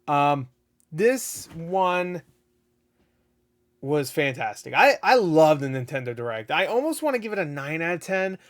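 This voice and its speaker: Man, slightly high voice, excitable and rambling, casual game review host, a bit raspy. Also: unhurried. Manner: excitable, rambling, laughs often, swears. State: voice getting raspy. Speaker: gaming video host.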